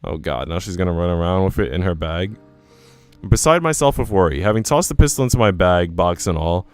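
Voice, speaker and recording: A man's voice: low-pitched, animated, brisk, clear, resonant tone, soft background music. A man reading a visual novel aloud as a let's player, acting out narration and dialogue and dropping in his own asides. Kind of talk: expressive read-aloud of story narration and dialogue.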